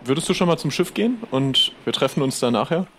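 A man's voice: mid-range voice, lively intonation, quick pace, fairly bright tone, slight background noise. A man improvising casual pirate role-play dialogue as a player, chatty and somewhat hesitant.

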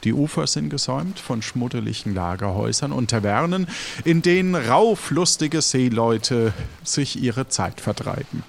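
A man's voice: medium pitch, lively intonation, normal speed, creaky. A man narrating an improvised audio adventure as game master, with faint noise behind him.

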